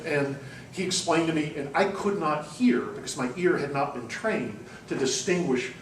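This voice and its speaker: A man's voice: a little high, normal speed, a little hiss or hum. A man giving a university lecture, talking in a discursive way with frequent ums and restarts. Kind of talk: classroom lecture. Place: classroom. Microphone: far room recording. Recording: echoey classroom from far off.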